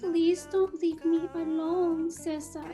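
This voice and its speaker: A man's voice: extremely high pitch, level tone, slow pace, dark tone, soft background music, pitched above the performer's own voice. A man voicing a frightened little girl who pleads with her older companion in a table read. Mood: pleading. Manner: pleading, fearful.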